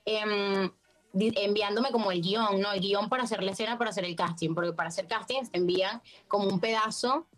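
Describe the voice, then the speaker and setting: A Venezuelan woman chatting casually as an interview guest, expressive and effusive in the way she talks. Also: mid-range voice, a little fast. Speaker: actress guest.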